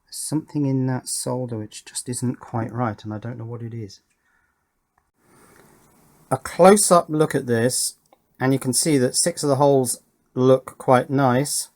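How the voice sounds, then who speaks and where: A middle-aged man giving an unscripted hands-on demonstration, thinking aloud in short, halting phrases as he works. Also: mid-range voice, steady tone, darkish tone. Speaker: electronics hobbyist and presenter. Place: indoor electronics workbench.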